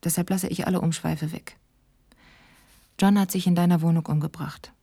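A woman's voice: fairly low pitch, steady tone, normal speed, creaky, mellow. An actress playing a woman who reads her own grave, candid letter in a sober, matter-of-fact way in a radio drama. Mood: grave, restrained.

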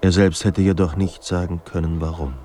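An actor playing a sombre literary narrator in a radio drama, speaking in a measured, grave manner. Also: male voice, deep voice, flat delivery, mellow, natural voice. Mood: melancholy. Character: sombre literary narrator of a troubled writer's life.

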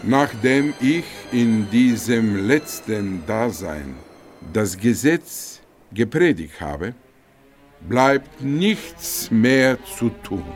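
Radio drama: grave prophetic god, extremely slow delivery, solemn, faint music behind. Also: male voice, medium pitch, big pitch swings. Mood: serene. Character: solemn Buddha, a god foretelling universal death. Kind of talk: literary radio drama acting.

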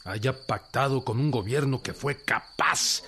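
An actor playing a stern, defiant revolutionary general in a radio drama, with a Mexican accent and a firm, declamatory delivery. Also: male voice, medium pitch, measured pace, pushed and bright, a little hiss or hum. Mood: indignant. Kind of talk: Spanish-language radio drama acting.

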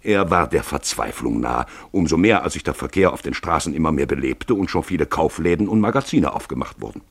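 Male narrator, raspy, very animated intonation, close dry sound, deliberate, radio drama storytelling. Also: rumbling low, no echo. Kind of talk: dramatic scripted literary narration for radio play.

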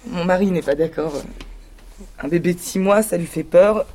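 A woman's voice: lowish voice, normal speed, natural voice. A woman playing an exhausted complaining young mother. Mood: weary. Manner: weary, complaining. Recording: noise behind the voice.

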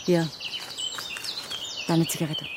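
A woman's voice: deep voice, little pitch movement, slow pace, noisy background. An actress playing a guarded young woman in a German radio drama, evasive and curt in her replies. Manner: evasive, clipped.

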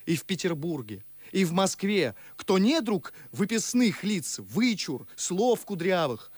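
An actor playing an indignant, eloquent young gentleman, declaiming sarcastically in verse in a theatrical radio play. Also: sits high, big pitch swings, unhurried, no echo, exaggerated voice. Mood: bitter, heated.

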